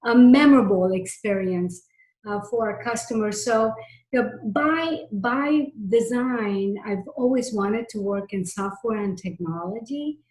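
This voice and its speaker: A woman's voice: mid-range voice, a little slow, a little mellow. A middle-aged woman from Miami of Cuban family answering interview questions in an earnest, conversational way, repeating points for emphasis. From Miami, United States, of Cuban family. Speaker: interview guest, technology executive. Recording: roomy, echoey sound.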